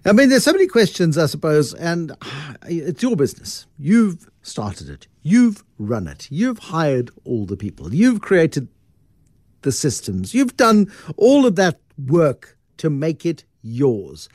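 Host guy with a kinda high bouncy voice, dry audio, chatty questions. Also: slow, no echo. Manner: lively, conversational, prompting questions. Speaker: interview host. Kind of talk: podcast interview questions.

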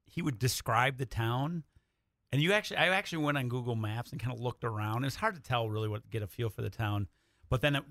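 An American man with a bright voice and a gravelly creak, swapping stories in a casual studio chat. He acts out other people's remarks as he goes. The sound is close and dry. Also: medium pitch, no room sound. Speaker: radio play writer and guest. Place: radio studio.

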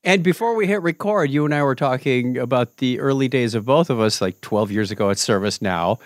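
A man's voice: mid-range voice, fairly quick, dry recording, studio quality. A man hosting a podcast in a casual, joking interview chat, given to wandering off on tangents. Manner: lively, jokey, tangent-prone. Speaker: podcast host.

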